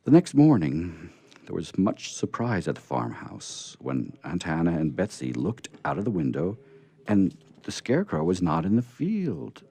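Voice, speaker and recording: A man's voice: deep voice, wildly swooping pitch, measured pace, creaky, dark tone, husky. A man hosting a radio theatre, reading stories aloud live in a chatty way. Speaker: radio theatre host and reader. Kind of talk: live dramatic story reading. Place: live radio reading before a small group.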